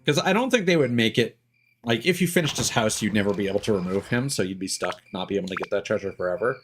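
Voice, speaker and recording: A man's voice: fairly low pitch, animated, quick pace. A man doing casual, joking, sweary commentary on a gaming livestream. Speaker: game streamer. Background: game music.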